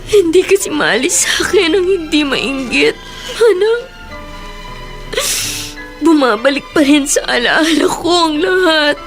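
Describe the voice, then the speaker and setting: A high-pitched, emotional woman of radio drama, generous yet wounded inside. She speaks in a halting, pleading way, with music playing underneath. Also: lively intonation. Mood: anguished, tearful. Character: tearful, wounded woman employer, generous, longing for parents' love. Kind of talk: Filipino radio drama acting.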